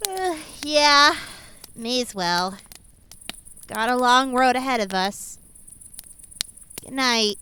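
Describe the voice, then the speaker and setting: A woman's voice: a little high, animated, slow pace, long pauses, sharp tone, slight background noise, heavily acted. An actress playing a brash, blunt young woman in a fan audio drama. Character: Shoban, brash young woman, mouthy fighter.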